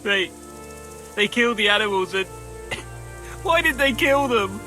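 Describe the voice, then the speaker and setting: A high, sharp male voice plays a bitter, shaken survivor in a video game. He speaks haltingly. Music sits behind him. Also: expressive, heavily acted. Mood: distraught. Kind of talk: video game dialogue acting.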